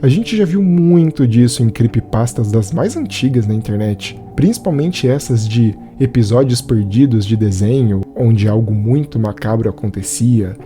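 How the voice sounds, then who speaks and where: A man's voice: medium pitch, normal speed, few pauses, mellow, soft background music. A man with a Brazilian accent giving a conversational scripted narration as a film reviewer. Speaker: video essayist and film reviewer.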